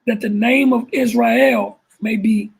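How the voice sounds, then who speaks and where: Man, high voice, very raspy, gravelly, slow deliberate scripture reading. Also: steady tone. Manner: reads verses slowly and deliberately. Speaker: panel member reading scripture.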